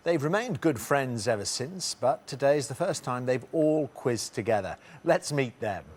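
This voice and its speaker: A man's voice: mid-range voice, lively intonation, normal speed. A man hosting a television quiz show, chatty and jokey, with frequent fillers.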